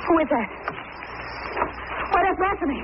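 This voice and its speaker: A woman playing a plucky but frightened young lady in a 1940s radio mystery, expressive and exclaiming. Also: high-pitched, normal speed. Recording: muffled, very noisy old radio recording.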